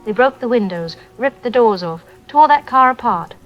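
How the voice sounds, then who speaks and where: A woman playing a frightened, trapped eyewitness calling in to a radio drama, speaking in bleak, halting short phrases. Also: fairly high pitch, animated, soft background music, natural voice. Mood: shaken. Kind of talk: phone-in testimony in an audio drama. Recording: dull lo-fi call-in sound.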